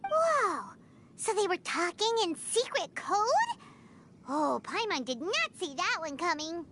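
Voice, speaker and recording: A woman voicing a tiny, excitable, curious fairy girl with naive exclamations in a cartoonish video game style. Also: very high voice, takes it slowly, background noise, exaggerated voice. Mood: amazed. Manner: exclamatory, naive, talks of self in third person.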